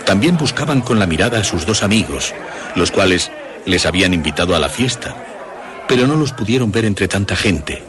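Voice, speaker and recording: A man narrating a scripted horror story for radio in a measured, dramatic storytelling manner. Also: sits low, lively intonation, crowd noise.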